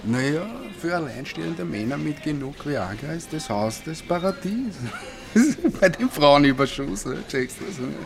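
A jovial male nurse with an Austrian accent. His animated, teasing, colloquial chat runs over music in a radio play. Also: a little high, normal speed, vocal fry. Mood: gossipy, cheeky. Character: jovial, cheeky, gossipy care-home nurse. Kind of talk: German radio play, casual dialogue.

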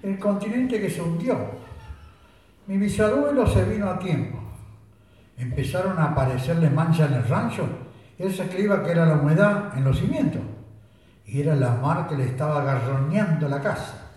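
A boastful country sergeant, rambling tall tales in a deadpan, mock-solemn voice, as a man acts him out in a comic radio play. His voice sits a little high and sounds far from the mic in a very echoey room. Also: normal speed, lightly acted. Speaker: radio drama actor.

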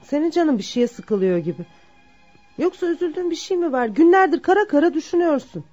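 Mellow, worried, doting mother. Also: high-pitched, animated, a little fast. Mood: concerned. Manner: solicitous, fussing. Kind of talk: Turkish radio theatre acting. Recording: muffled lo-fi drama recording with music.

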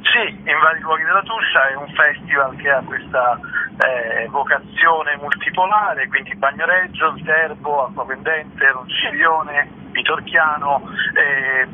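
A man's voice: a little high, measured pace. A man speaking as a festival director in a radio interview, hesitant and repeating words. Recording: thin phone line, faint noise.

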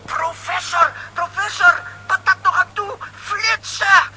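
An actor in a children's radio play voicing a boastful, excitable grown comic hero who stammers and exclaims bombastically. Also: very high voice, slow pace, very noisy. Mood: triumphant, shouting.

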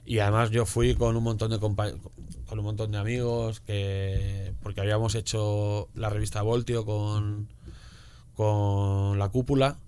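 A man's voice: on the low side, little pitch movement, unhurried, darkish tone. A man speaking as an interview guest in casual chat, colloquial and often tagging statements with questions. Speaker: guest comics author being interviewed. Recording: clear background noise.

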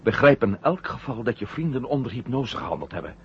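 An actor playing a reassuring, insistent astronaut commander in a 1970 radio drama. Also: male voice, mid-range voice, sing-song, fairly quick. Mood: reassuring, urgent. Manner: reassuring, insistent, repeats himself. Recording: dull, noisy 1970 radio drama recording.

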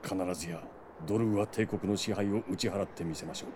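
Guy acting loyal knight captain, lowish mellow voice, very formal and solemn, fantasy audio drama, noisy background. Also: quick pace, natural voice. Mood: firm resolve. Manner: formal, deferential, archaic courtly speech.

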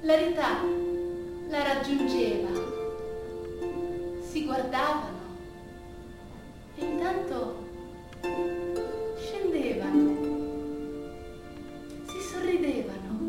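Very high-voiced woman storyteller in deep echo. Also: animated, very slow, long pauses. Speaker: stage actress, monologue performer. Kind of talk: dramatic theatrical monologue storytelling. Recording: very echoey, distant stage recording with music. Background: music.

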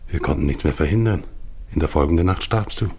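Radio drama: doomed polar expedition leader, very low, deeply husky, solemn and grieving, incantatory delivery. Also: male voice, fast talker, warm tone, exaggerated voice. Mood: bleak, weary. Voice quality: gravelly, husky, at times whispered. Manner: solemn, incantatory, repeating phrases. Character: dying, grieving male polar expedition leader recounting his doomed march. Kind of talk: literary German radio play monologue.

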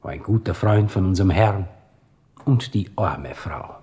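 An actor playing a deferential, gossipy coffeehouse waiter with a Viennese accent in a radio drama. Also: male voice, deep voice, warm tone. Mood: mournful. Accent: Viennese Austrian. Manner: servile, chatty, drawn-out storytelling.

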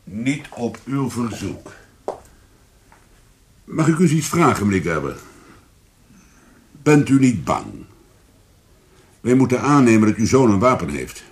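A man's voice: mid-range voice, big pitch swings, measured pace, pauses often, breathy edge. A man playing a formal, probing police commissioner in a radio drama, polite but insistent. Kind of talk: Dutch radio drama acting.

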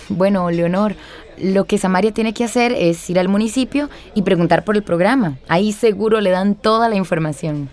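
A woman's voice: mid-range voice, a little mellow, natural voice. An actress playing a helpful young woman from a youth center, with a Costa Rican accent, in a radio drama. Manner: brisk, helpful.

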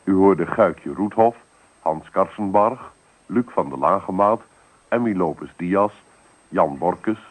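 A man's voice: low voice, slow pace, poor audio. A man reading a formal, scripted closing announcement for a radio broadcast.

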